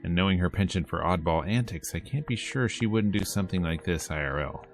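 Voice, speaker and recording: A man's voice: low voice, a little fast, soft background music. A man hosting a let's play, reading visual novel text aloud with playful, casual asides. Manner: playful, casual asides between read lines. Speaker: host of a gameplay video series.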